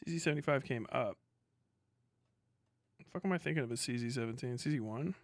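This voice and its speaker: A man's voice: medium pitch, brisk, no echo, clean studio sound. A man hosting a livestream with casual, profane, blunt and sarcastic commentary. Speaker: livestream host.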